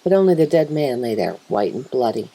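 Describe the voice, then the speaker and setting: Lady as a sad plainspoken wolf mom telling a grim story, low breathy voice, bright and intense. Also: sing-song, fairly quick, full character voice, rough recording. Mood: grieving. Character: mother wolf telling of her husband's horrifying change, anguished, plainspoken. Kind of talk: first-person dramatic story reading.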